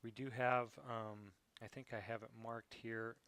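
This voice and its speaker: A man's voice: lowish voice, level tone, a little slow, a bit bright, smooth. An American man speaking casually and encouragingly as a cycling coach, thinking aloud as he reviews training data.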